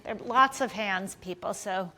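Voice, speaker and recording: A woman co-host moderating a live Q and A at an event, in a brisk, organizing way. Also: medium pitch, sharp tone, slight background noise, poor audio. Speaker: podcast co-host.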